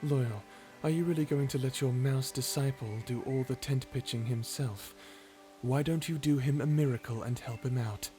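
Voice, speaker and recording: Low-pitched male vampire, acted out by a performer, edgy, curt, cold, tsundere, ASMR roleplay, music underneath. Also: steady tone, normal speed, warm tone, close to an everyday voice. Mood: gruff teasing. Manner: curt, dismissive, defensive. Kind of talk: ASMR roleplay character acting.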